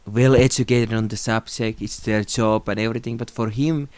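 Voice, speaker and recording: A young man from Finland, a native Finnish speaker, talking calmly and thoughtfully to camera in a small room, without a script. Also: fairly low pitch, moderate pace, no room sound. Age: young adult. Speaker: video creator. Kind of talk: unscripted tribute talk to camera.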